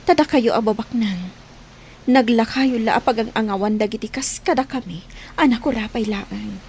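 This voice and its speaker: A woman playing an earnest, soft-voiced young housemaid who dreams of finishing her studies, in an Ilocano radio drama. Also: fairly high pitch, moderate pace, a little hiss or hum, natural voice. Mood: earnest pleading. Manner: earnest, pleading.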